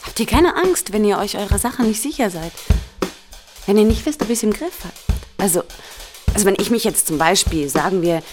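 A woman's voice: medium pitch, lively intonation, normal speed. A woman in conversation, probing and sceptical as she questions. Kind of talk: conversational challenge. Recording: music bed under voice. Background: drum music.

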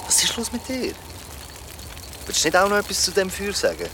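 A man's voice: high-pitched, wildly swooping pitch, fairly quick, sharp tone, a little hiss or hum, heavily acted. An actor playing a wry young male friend in a radio play, with a teasing, sarcastic way of talking. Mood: puzzled, sarcastic.

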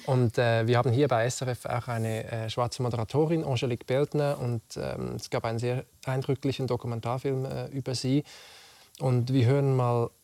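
A man's voice: mid-range voice, little pitch movement, moderate pace, gravelly, darkish tone, dry recording. A man with a Swiss German accent, hosting an interview with conversational questions. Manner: conversational questions, with affirming murmurs. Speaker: host. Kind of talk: radio interview.

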